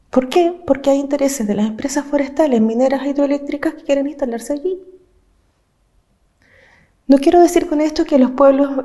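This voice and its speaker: A woman from Chile, an activist speaking earnestly and with passion, laying out her points in measured, listed phrases. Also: high-pitched, dark tone. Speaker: social movement activist. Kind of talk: reflective interview talk.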